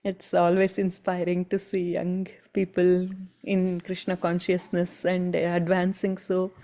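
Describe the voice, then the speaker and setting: A woman's voice: on the low side, monotone, unhurried, dark tone. A woman in her fifties chatting on a devotional group call, admiring and laughing often. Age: 50s. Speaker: participant. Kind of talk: casual group video call.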